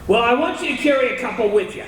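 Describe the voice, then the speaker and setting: A scheming condemned gangster. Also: male voice, very high-pitched, lively intonation, fast talker, a bit bright, a little hiss or hum. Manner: tough gangster slang, tense and pleading. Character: condemned male killer, bitter and scheming. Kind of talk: 1930s radio drama acting. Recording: echoey old radio recording from far off.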